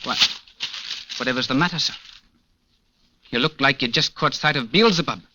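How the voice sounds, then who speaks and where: A man's voice: medium pitch, normal speed, close to an everyday voice. An actor playing a talkative, helpful local man in an old radio drama, on a slightly noisy recording. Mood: concerned. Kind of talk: old-time radio acting.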